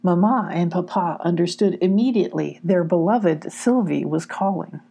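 Mellow, husky older woman storyteller. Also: fairly low pitch, normal speed. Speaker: story reader and host. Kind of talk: children's storybook narration.

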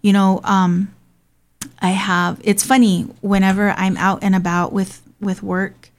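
A woman's voice: mid-range voice, little pitch movement, unhurried. A woman who grew up in Hawaii, hosting and talking in a casual, earnest way with frequent ums. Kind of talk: casual conversational talk.

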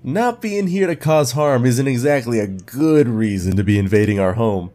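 An actor playing a suspicious, territorial guard in a sci-fi audio drama, stern and challenging. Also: male voice, mid-range voice, expressive, normal speed, warm tone, natural voice.

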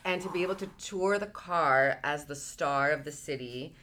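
A woman from Peru, a guest entrepreneur, telling her life story in a relaxed, chatty interview with frequent "like" fillers. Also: low voice, moderate pace, a little hiss or hum.

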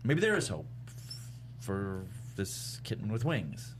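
A man's voice: on the low side, big pitch swings, measured pace. A man playing an awkward, exasperated young vampire who stammers and sulks through a comedy script read. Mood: mopey. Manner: stammering, self-correcting, sullen. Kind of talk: comedy pilot table read. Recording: faint background room noise.

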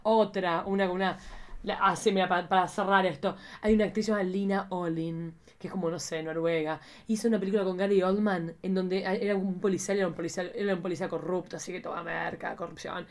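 A woman's voice: on the low side, fairly even intonation, quick pace. An Argentine woman co-hosts a casual podcast. Her manner is campy and playful, full of exclamations and slang, as she chats in an easy, informal way. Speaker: podcast co-host. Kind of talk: casual, playful chat about cult cinema.

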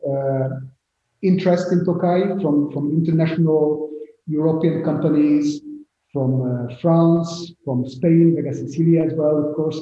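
A Hungarian man in his fifties with a Hungarian accent, speaking English as a winery guest in a remote interview, halting with many restarts. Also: fairly high pitch, dark tone, very echoey, highs cut off.

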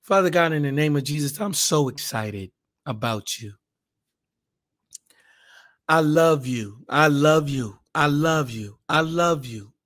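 Hoarse man teaching, then praying fervently. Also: on the high side, expressive, slow, long pauses, fairly bright tone. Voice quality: raspy. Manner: casual, rambling, fervent in prayer. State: hoarse, raspier than usual. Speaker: podcast Bible teacher. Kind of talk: casual Bible podcast and spoken prayer.

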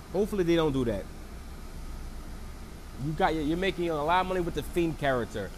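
Man with a cold recapping wrestling. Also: fairly high pitch. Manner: rambling, lots of fillers and restarts. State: sick with a cold, froggy voice. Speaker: wrestling show reviewer. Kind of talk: casual solo recap.

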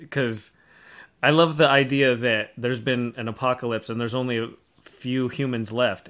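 A man's voice: medium pitch, a bit bright. A man talking casually as a co-host in a podcast panel discussion.

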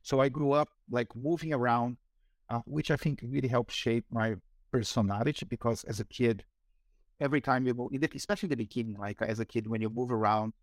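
A Brazilian-accented man in his forties, talking through an interview. He is hesitant and full of fillers and restarts, at a middling pitch. The sound is dry and close. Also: a little fast, dry recording. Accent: Brazilian Portuguese. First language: Portuguese. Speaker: guest, marketing executive. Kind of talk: casual interview.

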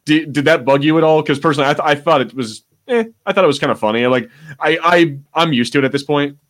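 A man's voice: on the high side, quick pace, a bit bright. A man co-hosting a podcast, chatting casually about pop culture in a rambling way, swearing freely. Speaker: podcast co-host.

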